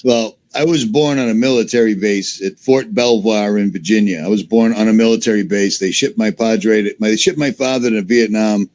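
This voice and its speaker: Casting: Massachusetts guy, Boston-area accent, low-voiced, blunt and dismissive, call-in guest. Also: moderate pace, few pauses, a little mellow. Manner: blunt, matter-of-fact, dismissive asides. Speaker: YouTuber, interview guest. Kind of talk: casual interview answers.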